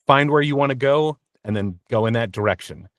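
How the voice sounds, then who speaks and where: A man's voice: medium pitch, expressive, fairly quick, no echo. Guy being interviewed, chatty practical advice, explains stuff, really dry sound. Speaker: interview guest, voice actor. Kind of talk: conversational interview answer.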